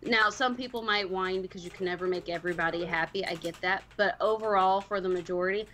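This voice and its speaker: A woman's voice: medium pitch, fast talker, fairly bright tone, slight background noise. A woman giving frank, emphatic opinions in a casual gaming panel discussion, repeating points for stress. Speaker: podcast panelist. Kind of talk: casual panel discussion about a video game.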